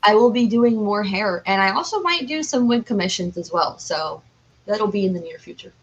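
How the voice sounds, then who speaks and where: A woman's voice: medium pitch, expressive, normal speed, lo-fi sound. A young adult American woman chatting casually as a podcast guest, telling stories in a giggly way. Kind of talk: casual chat.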